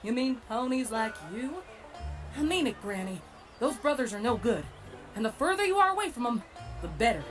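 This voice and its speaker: A woman's voice: fairly high pitch, sing-song, normal speed. A woman voicing a concerned young country pony mare in a cartoon comic dub, folksy and admonishing. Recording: music underneath.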